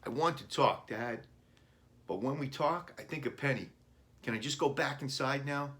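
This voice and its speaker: A man voicing an unhappy young boy, raised pitch. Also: moderate pace, a bit bright, lightly acted. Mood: hurt, pleading. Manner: plaintive, earnest. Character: unhappy, pleading ten-year-old boy. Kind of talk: children's storybook reading.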